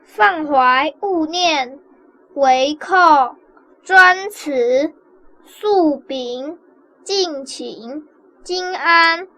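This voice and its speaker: A performer playing a timid young village child in a radio drama, with a Taiwanese accent and a halting way of speaking. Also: high-pitched, pushed and bright, no room sound, full character voice, rough recording. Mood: puzzled, halting. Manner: halting, slow reading aloud.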